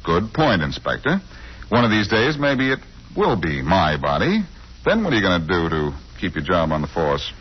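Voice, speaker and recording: An actor playing a cool, glib amateur sleuth in 1940s radio style, on an old muffled recording. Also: male voice, sits low, wildly swooping pitch, normal speed, breathy edge, heavily acted. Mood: wisecracking. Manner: glib, teasing. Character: wisecracking, cool, unflappable amateur sleuth man.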